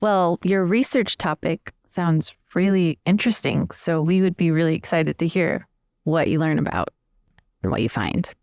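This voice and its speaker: A woman's voice: fairly low pitch, expressive, moderate pace, gravelly, a little mellow, no echo. A woman playing a curious, chatty young well-bred woman, talking informally and asking questions in improvised roleplay over music. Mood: friendly interest. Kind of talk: improvised in-character roleplay dialogue.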